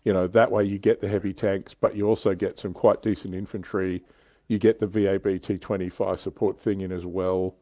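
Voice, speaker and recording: A man's voice: deep voice, little pitch movement, brisk, warm tone, no room sound. A man hosting a hobby livestream in casual, enthusiastic chat. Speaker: show host on a hobby livestream.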